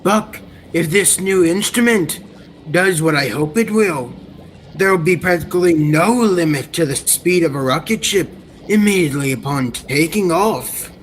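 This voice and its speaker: A man's voice: fairly high pitch, unhurried, a little hiss or hum, natural voice, low-quality recording. A young man playing an earnest, formal inventor doctor in an old-time sci-fi radio serial. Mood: earnest, explaining. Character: earnest male scientist doctor, excitable inventor.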